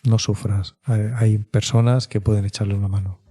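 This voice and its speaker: Low, mellow middle-aged man. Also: level tone, normal speed, soft background music. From Spain. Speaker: mental health expert guest. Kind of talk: conversational interview answers.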